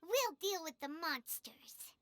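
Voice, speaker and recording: Small floating companion creature, squeaky, bright, excitable, swooping pitch. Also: female voice, slow, faint music behind, heavily acted. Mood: chatty. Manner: squeaky, fretful and chatty. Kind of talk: video game cutscene voice acting.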